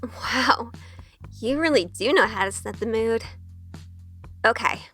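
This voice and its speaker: A woman's voice: fairly high pitch, takes it slowly, pushed and bright, slightly rough, full character voice. An actress playing a sheltered, earnest young woman who is new to witchcraft, in an audio drama. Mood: nervous, hesitant. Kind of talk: audio drama acting with first-person narration. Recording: long reverb, music behind. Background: music.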